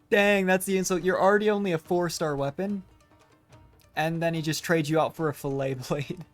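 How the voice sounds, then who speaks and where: A man's voice: fairly high pitch, fairly even intonation, unhurried, pauses often. Chatty male gamer over game music. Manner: musing, chatty asides. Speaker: gaming streamer. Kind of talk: casual game playthrough commentary.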